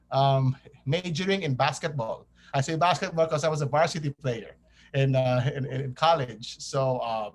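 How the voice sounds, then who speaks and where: A man from the Philippines with a Filipino accent, answering casually as an interview guest. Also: mid-range voice. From Cebu, Philippines.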